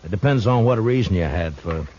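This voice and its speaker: A calm stern western marshal, deep measured voice, old dull radio recording. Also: male voice, slight background noise. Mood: stern, probing. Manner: dry, measured, unhurried questioning. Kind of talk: 1950s western radio drama acting.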